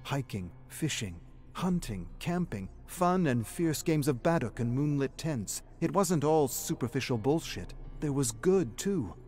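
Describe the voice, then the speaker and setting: An actor narrating a dramatic story in a solemn, measured way as a storyteller. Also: male voice, medium pitch, big pitch swings, darkish tone. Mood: earnest, reflective. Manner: solemn, measured storytelling that also voices the characters' dialogue. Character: solemn storyteller narrating a young man's troubled memories. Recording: background music under the narration.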